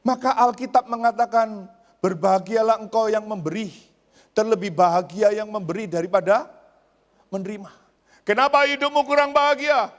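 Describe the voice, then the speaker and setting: A man's voice: high voice, moderate pace. A man preaching a sermon as a pastor, emphatic, repeating phrases and putting rhetorical questions to his listeners. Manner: swings between raised exclamations and hushed asides; addresses congregation with rhetorical questions. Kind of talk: church sermon. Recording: long hall reverb.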